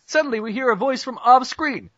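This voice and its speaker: Young man, hammy amateur narrator, high pitch, bright forceful tone, stumbles over lines, muffled dry call audio. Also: a little fast, dry recording. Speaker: narrator in a group script reading. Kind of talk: amateur mock-dramatic narration of a cartoon parody.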